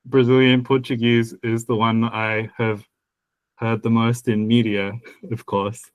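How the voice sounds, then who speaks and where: A man's voice: medium pitch, flat delivery, a little slow, no echo, poor audio. A man co-hosting a podcast, chatting casually about language, rambling with many restarts and laughing at himself. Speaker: podcast co-host.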